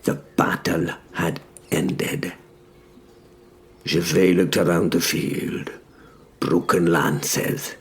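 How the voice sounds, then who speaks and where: A man giving measured, dramatic first-person narration of a story in short phrases. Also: low-pitched, steady tone, gravelly.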